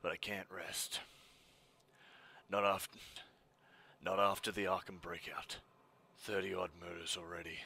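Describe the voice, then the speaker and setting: A man's voice: sits low, little pitch movement, measured pace, gravelly, pauses often, bright, forceful tone, heavily acted. A man playing a grim, weary masked vigilante in a superhero fan dub, terse and brooding, with a whispery, strained voice.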